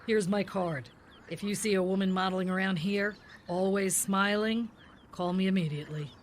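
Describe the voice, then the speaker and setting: A woman playing a deadpan, hard-boiled detective in a parody police radio drama, narrating the case in clipped sentences. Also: mid-range voice, normal speed, slight background noise, natural voice.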